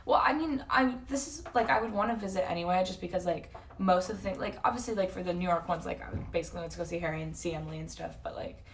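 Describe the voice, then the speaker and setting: A woman's voice: on the low side, fast talker, hardly any pauses, recorded from a distance, slight background noise. A woman in her early twenties with a North American accent, chatting casually to livestream viewers in a rambling, slangy, self-interrupting way. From San Jose, California and Toronto, Canada. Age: early 20s.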